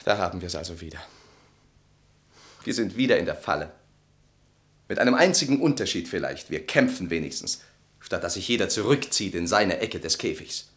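An actor playing a resentful, self-absorbed, brooding husband in a German literary radio play, with an intense, articulate, theatrical delivery. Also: male voice, deep voice, lively intonation, normal speed, long pauses, fairly bright tone. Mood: bleak, brooding.

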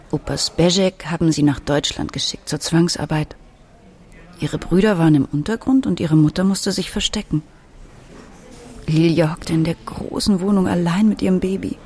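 A woman's voice: lowish voice, warm tone, close to an everyday voice. An actress playing a thoughtful adult daughter and mother who recounts family memories in a radio drama. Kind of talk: radio drama narration and dialogue.

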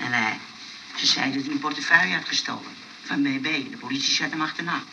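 An actor playing an excitable, earnest young man in a Dutch radio comedy. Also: mid-range voice, quick pace, vocal fry, close to an everyday voice. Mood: sheepish, earnest. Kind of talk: Dutch radio play acting. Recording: noisy old radio play recording.

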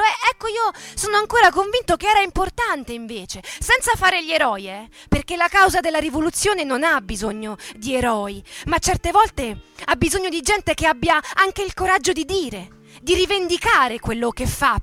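A woman playing an earnest ageing male metalworker who recalls his militant youth in a halting, conversational, emphatic way, as a radio theatre monologue. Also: extremely high pitch, sharp tone, pitched above the performer's own voice, heavily acted.

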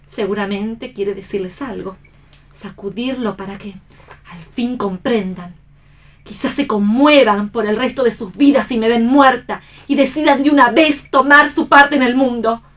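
Radio drama lead: weary, defiant actress, higher-pitched voice, declamatory and despairing. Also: female voice, fairly bright tone. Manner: theatrical, declamatory. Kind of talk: read radio theatre.

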